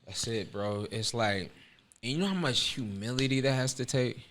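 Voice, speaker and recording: A man's voice: mid-range voice, moderate pace, a bit bright, a little hiss or hum. A young man in his twenties speaking earnestly in casual slang on a podcast. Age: 20s. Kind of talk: casual chat.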